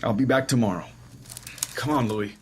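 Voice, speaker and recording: A man's voice: medium pitch, normal speed, slight background noise, natural voice. A man playing an earnest, helpful professor acting as an amateur sleuth in an audio drama. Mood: insistent. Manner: earnest, questioning.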